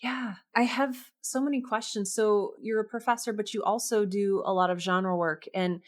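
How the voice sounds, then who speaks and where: A woman's voice: mid-range voice, fairly even intonation, moderate pace. A woman hosting an interview podcast in an enthusiastic, chatty, conversational way. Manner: effusive, chatty. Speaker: podcast host.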